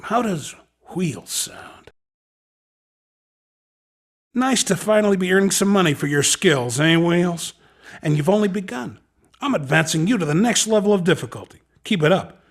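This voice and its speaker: A young, cocky hoverboard race promoter for a video game. His high voice crackles with gravelly fry and moves around in lively swings. He talks in upbeat, slangy sales patter. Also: normal speed, heavily acted. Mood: friendly, hustling. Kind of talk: scripted video game character dialogue.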